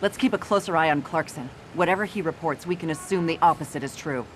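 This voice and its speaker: A woman voicing a serious, sharp-minded investigator in a video game, earnest and matter-of-fact, with noise behind her. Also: low voice, fast, faint music behind, close to an everyday voice. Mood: resolute. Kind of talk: animated video game acting.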